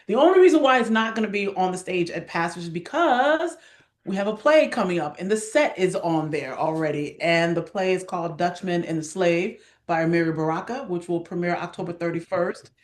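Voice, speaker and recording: A woman's voice: fairly low pitch, animated, a little fast. A woman speaking as an interview guest, chatting casually and enthusiastically about directing. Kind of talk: casual promotional interview chat. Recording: roomy, echoey sound.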